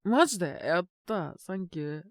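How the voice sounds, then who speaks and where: A man playing a sick, stubborn but shy teenage boy in an intimate Japanese audio drama, speaking in a halting, casual, congested mumble. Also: sits high, big pitch swings, no room sound, clean studio sound. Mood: startled, grumbling. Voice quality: congested cold-sick voice with coughs.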